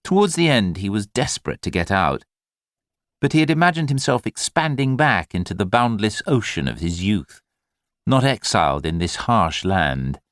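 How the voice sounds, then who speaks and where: A man reading literary fiction as an audiobook narrator, in an expressive, measured storytelling manner. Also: on the low side, no echo, studio quality.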